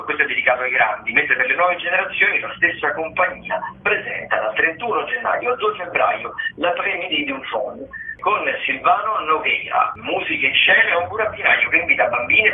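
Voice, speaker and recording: Through a thin, phone-like radio line with faint noise comes an Italian man with a fairly high voice. He hosts an arts slot, running through listings in a halting, semi-scripted way and often repeating himself to fix a phrase. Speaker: radio host presenting theatre listings. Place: radio broadcast.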